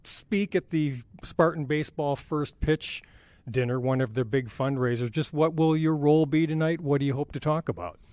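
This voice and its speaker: A man's voice: a little high, moderate pace, darkish tone, no echo. A man hosting a radio show, asking questions in a conversational interview.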